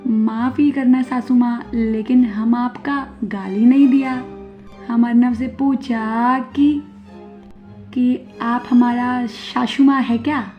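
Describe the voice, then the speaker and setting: A woman voicing a young Nepali bride with a Nepali accent, blunt yet polite, speaking haltingly with broken grammar in a cartoon story. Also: a little high, fairly even intonation. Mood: polite, hesitant. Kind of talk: Hindi animated moral story acting. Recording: dull lo-fi audio, music behind.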